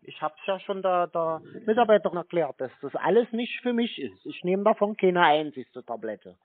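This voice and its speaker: A man's voice: sits high, expressive, normal speed. A man in a casual phone conversation as a customer, insistent and repetitive in a naive-sounding way. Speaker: prank caller posing as customer. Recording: tinny narrow phone line.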